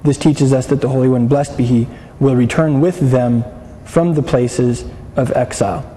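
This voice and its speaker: A man's voice: mid-range voice, fairly even intonation, moderate pace, dark tone, big-room sound, slight background noise, rough recording. A man teaching a class in a lecture style, explaining texts and asking his listeners questions, often restarting his sentences. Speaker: Bible teacher.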